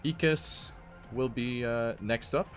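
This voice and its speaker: A man's voice: mid-range voice, slow pace. A man doing live commentary on an esports racing game as one of the casters. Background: music.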